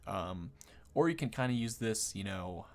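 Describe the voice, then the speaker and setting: A man's voice: fairly low pitch, lively intonation, moderate pace. A man hosting a gaming video, explaining things in a casual, unscripted, rambling conversational way. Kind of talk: casual unscripted game commentary.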